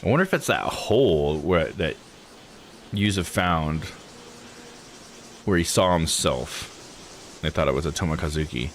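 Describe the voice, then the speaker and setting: A man's voice: a bass voice, lively intonation, unhurried, pauses often, slight background noise. A man live-streaming a game, reading its text aloud and commenting in a laid-back, informal way. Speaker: live-streamer playing a visual novel.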